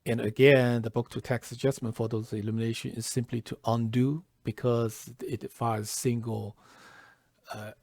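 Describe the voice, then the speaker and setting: A man presenting a software tutorial step by step, halting and deliberate, often pausing mid-phrase. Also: medium pitch, level tone, dry recording. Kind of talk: step-by-step tutorial narration, lightly scripted.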